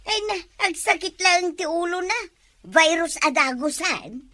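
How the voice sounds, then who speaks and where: A woman acting in a comic village drama, with an excitable, exclamatory way of talking. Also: very high-pitched, unhurried, no echo. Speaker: comedy drama actor.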